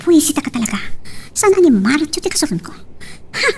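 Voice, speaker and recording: An actress plays a chatty young daughter in an Ilocano love drama. She speaks very high with animated swoops, a little distant from the microphone. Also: fast talker. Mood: playful, protesting. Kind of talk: Ilocano audio drama acting. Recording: slightly distant from the mic.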